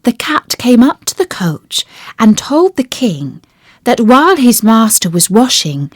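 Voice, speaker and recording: A woman reading a children's fairy tale as a storyteller, with deliberate, expressive phrasing. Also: a little high, slightly rough, no room sound, studio quality. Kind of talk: scripted children's storybook narration.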